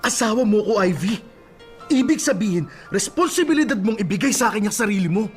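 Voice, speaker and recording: A man's voice: sits high, expressive, a little fast, soft background music. A man acting a demanding, short-tempered husband in a radio drama. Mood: domineering. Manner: insistent, demanding.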